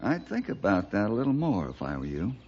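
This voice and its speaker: A level-headed frontier lawman in an old radio western. He speaks in a measured, questioning way. The sound is dull, like a vintage broadcast. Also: on the low side, wildly swooping pitch, darkish tone, breathy edge, full character voice. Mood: probing. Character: calm, inquisitive male western lawman hero.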